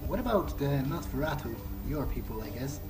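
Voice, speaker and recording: A man roleplaying in character through a video game, asking questions in a halting, thoughtful, conversational way. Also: mid-range voice, sing-song. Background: game music.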